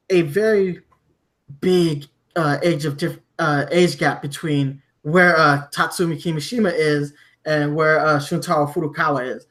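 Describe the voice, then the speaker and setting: A man from Germany hosting a podcast, discussing news conversationally with halting, repeated word starts. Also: a little high, a bit bright. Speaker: podcast host.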